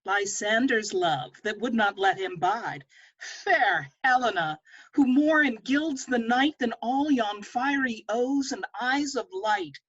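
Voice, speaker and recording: Lovestruck young suitor woman reader, Shakespeare verse: earnest, animated, slightly raspy, dull lo-fi call recording. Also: on the high side, slow, exaggerated voice. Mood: dismissive. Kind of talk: amateur Shakespeare table read in verse. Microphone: video call. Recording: muffled lo-fi video call.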